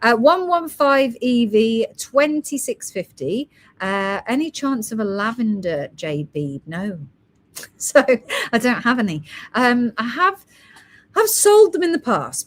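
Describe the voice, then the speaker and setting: A woman hosting a live stream selling gemstone beads, chatting casually and reading out product codes and prices. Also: medium pitch. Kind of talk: casual live sales chat.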